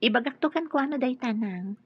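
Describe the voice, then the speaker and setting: Young bride, gentle, husky and a bit high, dry muffled radio drama. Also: female voice, animated, a little fast, no room sound. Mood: reassuring. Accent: Filipino.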